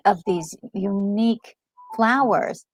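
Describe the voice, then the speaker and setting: A woman co-hosting a gaming discussion, talking casually and enthusiastically in a halting, emphatic way over music. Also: medium pitch. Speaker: gaming channel co-host. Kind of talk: casual commentary on a game story. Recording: music playing behind a close, dry voice.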